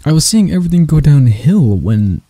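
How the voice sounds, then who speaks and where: A man playing a proud, mocking elf royal in an ASMR roleplay, speaking in a soft, breathy, husky voice with dramatic pauses. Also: on the high side, big pitch swings, no echo. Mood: bitter, aggrieved.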